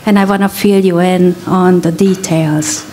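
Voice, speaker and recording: Woman, Hungarian accent, literary reading at an event, slow, measured, hesitant. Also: lowish voice, steady tone, warm tone, slight background noise. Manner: hesitant, with many ums in her introduction; measured, deliberate poetry reading. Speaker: guest reader. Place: event hall.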